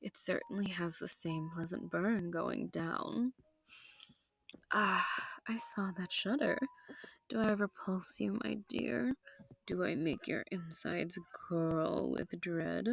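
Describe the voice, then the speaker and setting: Slow, seductive female vampire, acted by a woman. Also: fairly low pitch, vocal fry, no room sound, exaggerated voice. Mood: teasing menace. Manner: slow, teasing, genteel menace, often dropping to whispers. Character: seductive, sadistic, possessive female vampire noble hosting her foe. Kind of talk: intimate scripted audio roleplay.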